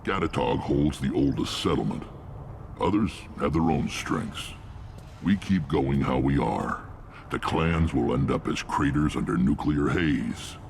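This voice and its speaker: A battle-worn alien clan chief from a sci-fi game. The voice is rumbling and extremely deep, thick with gravel. He speaks bluntly and with command, over clearly audible background noise. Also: unhurried, full character voice. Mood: grave, resolute. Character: gruff, battle-worn alien warlord, a visionary clan leader. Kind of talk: video game character acting.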